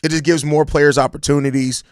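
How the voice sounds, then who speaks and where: An American man with a hoarse, raspy voice worn out from overuse, chatting casually and emphatically in slang as a solo sports podcast host. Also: fairly high pitch, little pitch movement, a little fast, no room sound, studio quality. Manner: slangy, emphatic, repeats phrases. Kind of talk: casual live-stream sports chat answering viewer comments.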